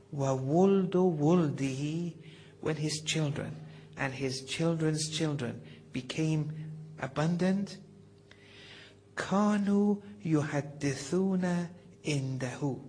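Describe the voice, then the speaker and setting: A man delivering a devotional lecture, reading Arabic passages and explaining them, speaking slowly and deliberately and sometimes dropping to a whisper for emphasis. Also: fairly high pitch, darkish tone, a little hiss or hum. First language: not Farsi (Farsi is his second language). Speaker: religious lecturer.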